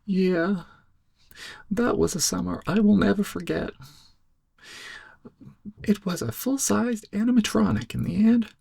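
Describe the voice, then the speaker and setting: A man playing a laid-back, cowardly, goofy young amateur sleuth in a soft-spoken ASMR roleplay, rambling hesitantly in a breathy, cracking stoner drawl. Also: high voice, big pitch swings, a little slow, pauses often, no echo, studio quality, exaggerated voice. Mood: chatty, rambling. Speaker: ASMR performer.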